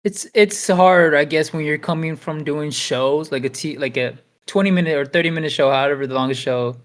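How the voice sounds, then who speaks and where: A man's voice: on the high side, brisk. A man in his late twenties co-hosting a podcast, loose and casual, swearing freely and calling others dude. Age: 20s. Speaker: podcast co-host. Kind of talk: casual chat.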